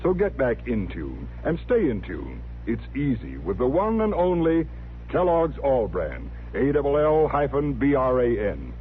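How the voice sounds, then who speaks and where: A man's voice: mid-range voice, huge pitch swings, unhurried, slight background noise. A man reading a scripted 1950s radio commercial as an announcer, with an emphatic, polished sales delivery. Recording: tinny old radio broadcast.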